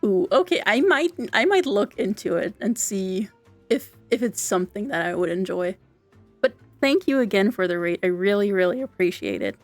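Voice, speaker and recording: Young austrian woman, chatty streamer, dry close mic, quiet game music. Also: mid-range voice, animated, no echo. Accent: Austrian German. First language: German. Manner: chatty, giggly, halting with restarts. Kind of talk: casual live-stream chat.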